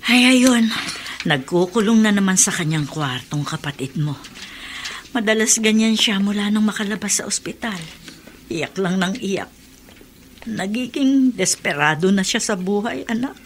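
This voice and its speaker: A loving, anxious middle-aged mother played by an actress, speaking at a medium pitch. It is heartfelt radio drama with faint noise behind. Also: animated, normal speed, close to an everyday voice. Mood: worried. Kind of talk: Filipino radio drama acting.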